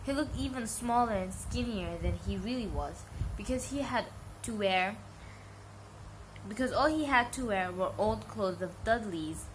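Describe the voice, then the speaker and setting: A woman's voice: medium pitch, lively intonation, unhurried. Casting: female hobby storyteller, mid-range voice, narrated bedtime reading, noisy home-taped sound. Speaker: amateur storybook reader.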